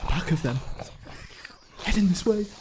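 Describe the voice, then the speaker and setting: Actress as loyal, anxious rabbit for radio fantasy: low pitch, urgent, faint noise behind. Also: female voice, animated, measured pace. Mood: urgent, selfless. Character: timid, self-sacrificing rabbit, slow and loyal. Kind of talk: amateur fantasy radio drama acting. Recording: noisy ambience behind the voice.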